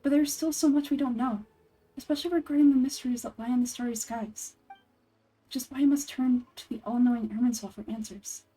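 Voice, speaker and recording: Earnest, mellow scholarly woman voiced by a woman. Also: a little high, fairly even intonation, close to an everyday voice, rough recording. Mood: earnest, wistful. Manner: formal, articulate, measured. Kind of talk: video game dialogue read-through.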